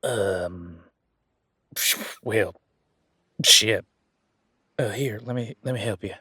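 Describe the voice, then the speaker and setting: A man's voice: fairly low pitch, extremely slow, sharp tone, breathy edge, dry recording, studio quality, heavily acted. A man playing a bashful, apologetic young male werewolf with a cowboy drawl, stammering politely in an audio drama. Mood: awkward, nervous.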